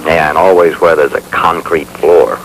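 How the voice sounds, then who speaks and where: An actor playing a polite but tactless young telephone installer, deferential and placating, in an old training film. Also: male voice, rumbling low, normal speed. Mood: weary, defensive. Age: young adult. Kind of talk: vintage training film acting. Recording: noisy old film soundtrack.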